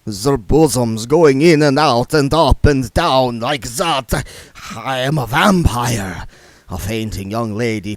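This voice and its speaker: A man voicing an anxious male vampire fighting his thirst, who talks slowly and tremblingly and bursts into wailing song. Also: mid-range voice, no echo. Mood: anguished.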